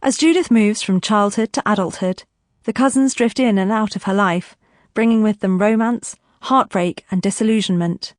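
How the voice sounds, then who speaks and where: A woman's voice: mid-range voice, normal speed, no room sound. A woman with a British accent reading a scripted introduction as an announcer.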